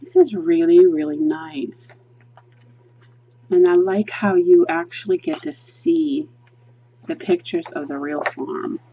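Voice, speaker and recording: A woman's voice: lowish voice, slow pace, mellow, dull audio, a little hiss or hum. A woman reviewing a coloring book as she flips through it, chatty and gushing, with lots of ums while she thinks aloud. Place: indoors at a table, hands seen flipping pages.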